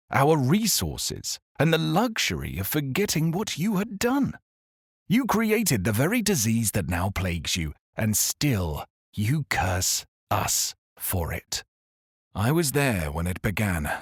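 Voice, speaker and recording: A man tells a story in the first person with a husky, low-pitched voice that rises and falls widely. His delivery is grave, measured and accusatory. The sound is dry and close. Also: no room sound. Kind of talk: scripted dramatic narration.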